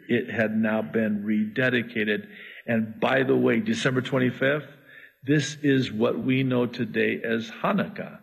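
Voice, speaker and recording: A middle-aged man whose first language is Arabic, preaching through scripture with lively asides. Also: medium pitch, creaky, breathy edge, poor audio. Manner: animated, jumping from scripture reading to asides. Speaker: pastor. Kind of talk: expository sermon.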